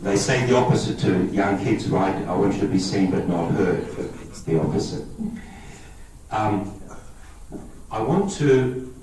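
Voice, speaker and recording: A man's voice: fairly low pitch, unhurried, pauses often, darkish tone, distant mic. Greek-born man talking in an echoey hall. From Australia. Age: 50s. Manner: conversational, checks in with listeners. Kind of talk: public talk to a lay audience.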